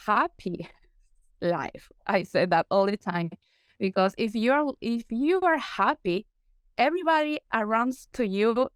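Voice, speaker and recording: Peruvian spanish accent lady, stops and hunts for words a lot, clean clear voice, very dry sound. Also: medium pitch, no room sound. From Peru. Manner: halting, searching for English words. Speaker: guest consultant, periodontist. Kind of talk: interview answers.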